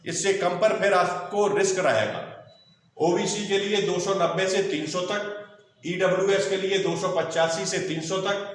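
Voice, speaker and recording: A very echoey, distant recording of a man. His voice is high for a man. He explains point by point like a coaching teacher, instructive and reassuring, dropping English terms into his speech. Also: steady tone, moderate pace. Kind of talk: explanatory online lesson, point by point. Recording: very echoey room, distant mic.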